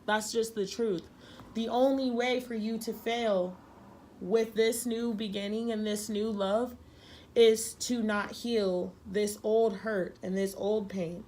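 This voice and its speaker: A young woman doing a tarot reading, talking earnestly and repeating herself for emphasis, a little hoarse from being sick. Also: medium pitch, fairly even intonation, slow, darkish tone. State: losing her voice, a little sick. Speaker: tarot reader.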